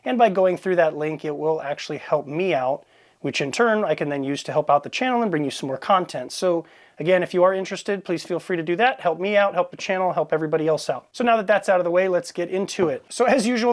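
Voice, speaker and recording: A man giving a casual, plain-spoken product review, explaining things informally as he goes. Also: on the high side.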